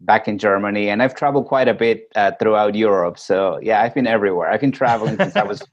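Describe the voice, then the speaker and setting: A man from Peru, a podcast guest calling in remotely, telling his stories in a reflective, unhurried way. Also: fairly low pitch, expressive, lo-fi sound. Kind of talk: casual interview chat.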